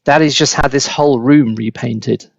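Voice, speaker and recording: A man voicing an excitable, alarmed young grandson in a children's storybook, earnest and exclamatory. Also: mid-range voice, normal speed, vocal fry, dry recording, highs cut off.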